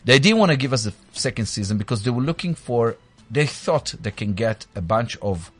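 A man's voice: mid-range voice, very animated, normal speed, husky, soft background music. A man with a Spanish accent chatting as a guest in a radio studio, effusive and searching for words. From Spain or Spanish-speaking country. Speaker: pawn shop owner and reality show guest. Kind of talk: casual radio chat.

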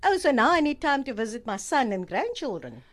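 Radio drama: bossy, scolding South African granny played by a woman, Cape Town accent, highish pitch, very animated delivery. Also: brisk. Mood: haughty, guilt-tripping. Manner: scolding, nitpicking, imperious. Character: bossy, critical, sharp-tongued visiting grandmother. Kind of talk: amateur radio drama acting.

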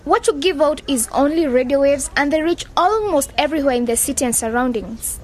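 An actress playing an excitable, outspoken young woman in an educational radio drama, with an emphatic, teasing manner. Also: sits high, a little fast. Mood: arguing.

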